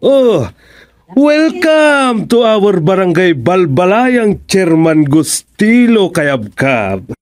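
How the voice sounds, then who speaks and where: A man's voice: high voice, sing-song, drawn-out words, full character voice. A man acting a jovial, ceremonious village captain in an Ilocano radio comedy, speaking with an Ilocano accent. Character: jovial, welcoming village captain receiving a fellow official.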